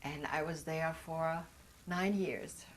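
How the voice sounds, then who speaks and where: An older woman, German by mother tongue, speaks in a slightly low voice during an oral history interview. She is reflective and earnest and pauses to search for the right words. A faint hiss sits under the recording. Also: steady tone.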